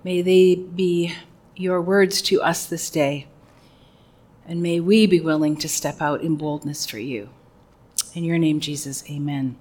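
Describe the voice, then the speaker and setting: A woman with a loud voice preaching an earnest, conversational sermon with a few personal asides. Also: low voice, fairly even intonation, unhurried.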